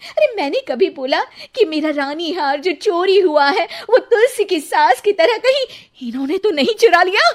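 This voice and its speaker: Lady doing a super high-pitched young wife in a cartoon, sassy, argues back dramatically. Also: animated, normal speed, few pauses. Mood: indignant. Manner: theatrical, argumentative back-talk. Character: feisty, sarcastic young daughter-in-law in a cartoon. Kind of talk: exaggerated Hindi cartoon family drama.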